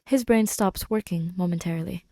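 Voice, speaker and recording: A woman's voice: fairly low pitch, normal speed, dark tone. A woman reading scripted fiction expressively as an audiobook narrator, close to the microphone. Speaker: podfic narrator.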